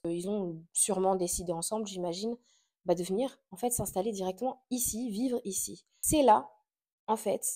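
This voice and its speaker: A woman in her late twenties, originally from Portugal, talks straight to her listeners in a relaxed, confiding podcast chat. Her voice is mellow and warm, sitting at a middle pitch. She fills her sentences with little hesitations and soft laughs. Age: late 20s. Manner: chatty and confiding, frequent fillers and little laughs. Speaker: podcast host. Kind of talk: casual personal chat to camera.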